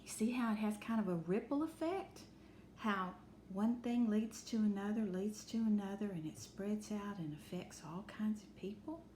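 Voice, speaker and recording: A woman reading a picture book aloud to children in an expressive, instructive teacher's manner, dwelling on key words. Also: medium pitch, moderate pace. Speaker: storytime reader and teacher. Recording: roomy echo with faint background noise.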